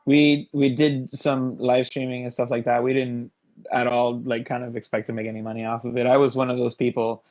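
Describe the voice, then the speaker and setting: A man's voice: mid-range voice, flat delivery, fairly quick, darkish tone. A man from Miami chatting casually as a musician guest, with a slangy, emphatic way of talking. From Miami, Florida, United States. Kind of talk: casual chat. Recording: dull, lo-fi voice pickup.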